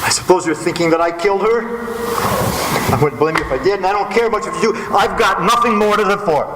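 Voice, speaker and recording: An actor playing a self-pitying, lovesick gentleman suspect, hesitant and melodramatic, in an old-time radio drama. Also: high-pitched, fast talker, lightly acted. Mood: despairing. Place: live stage performance in a theatre hall. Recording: echoey stage hall with noise.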